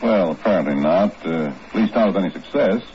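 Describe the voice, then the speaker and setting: An actor playing a reluctant, hedging intelligence chief in a 1950s radio drama. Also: male voice, low voice, lively intonation, brisk, slight background noise. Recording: muffled 1950s radio recording.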